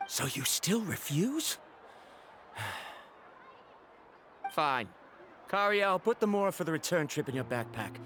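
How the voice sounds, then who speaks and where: Scholarly male traveller for a game: formal, courteous, stubborn, fairly high voice with animated inflection. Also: slight background noise, exaggerated voice. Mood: stubborn resignation. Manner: polite, measured, formal wording. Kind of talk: video game voice acting.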